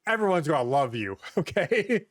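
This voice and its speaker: A man's voice: a little high, very animated, moderate pace, no room sound, clean studio sound. A man chatting casually on a comedy podcast, given to exasperated, sweary rants. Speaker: podcast host.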